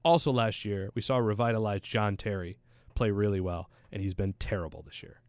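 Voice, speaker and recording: A man's voice: fairly low pitch, steady tone, fairly quick, darkish tone, clear, resonant tone, no echo. A man chatting casually about sports as a pundit, in a slangy, anecdotal way. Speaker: soccer pundit on a talk show.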